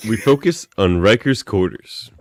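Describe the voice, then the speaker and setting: A man's voice: lowish voice, expressive, a little slow, noisy background. A man narrating a radio play script in a playful, tongue-in-cheek way. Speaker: podcast narrator.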